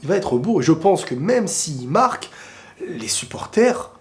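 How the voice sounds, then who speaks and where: A man with a head cold and a sore throat talks football straight to his viewers, excitable and opinionated. His voice sits a little high for a man, and he keeps drifting into rushed, rambling asides. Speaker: football video creator answering viewer questions. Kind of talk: casual opinionated football talk to camera.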